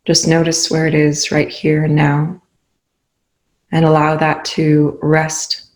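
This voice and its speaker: A meditation guide leading a session in a soothing, unhurried manner with long pauses between phrases. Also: mid-range voice, monotone. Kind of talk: guided meditation, spoken slowly.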